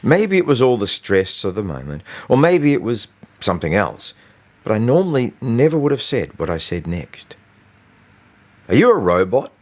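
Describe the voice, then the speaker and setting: A man reading his own novel aloud as expressive, scripted audiobook narration. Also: mid-range voice, normal speed.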